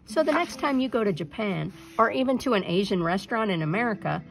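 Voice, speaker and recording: A woman reading a children's picture book aloud as a storyteller, in an expressive narrating style. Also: medium pitch, fast talker, vocal fry, slight background noise.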